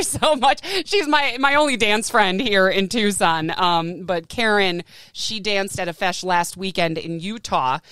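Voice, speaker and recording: Woman, American, podcast host. Smooth clear voice, close dry sound, chatty and enthusiastic. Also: fairly low pitch, fairly bright tone, no echo. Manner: enthusiastic, self-deprecating, informal. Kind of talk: casual chatty solo podcast talk.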